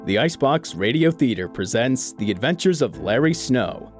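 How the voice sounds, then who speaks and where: A man's voice: mid-range voice, animated, normal speed. A man announcing in the scripted style of a radio drama introduction. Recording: clear music behind the voice.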